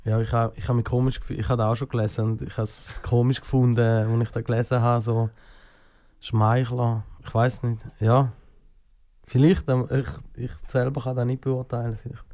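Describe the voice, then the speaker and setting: A singer with a Schaffhausen Swiss German accent answering interview questions in a halting way, full of fillers and restarts. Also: male voice, medium pitch, little pitch movement, mellow. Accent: Swiss German, Schaffhausen dialect. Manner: hesitant, many fillers and restarts. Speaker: guest singer.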